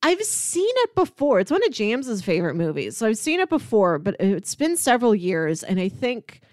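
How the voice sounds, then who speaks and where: A woman's voice: on the high side, very animated, moderate pace, darkish tone, no echo, studio quality. A woman co-hosting a podcast, talking casually and expressively about films in a chatty conversational style. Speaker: podcast co-host. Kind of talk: casual film discussion.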